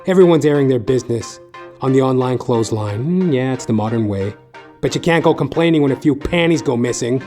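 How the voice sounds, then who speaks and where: A man playing a sardonic, world-weary private detective, narrating deadpan in hard-boiled style for an audio dramedy. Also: medium pitch, fairly quick, close to an everyday voice. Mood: wry, world-weary. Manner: wry, deadpan wisecracking. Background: music behind the voice.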